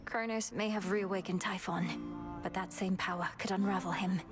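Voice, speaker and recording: A woman's voice: medium pitch, fairly even intonation, moderate pace, over music, lightly acted. Woman playing an earnest, formal young witch princess. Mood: resolute, serious. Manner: formal, courteous, resolute. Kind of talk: scripted game dialogue.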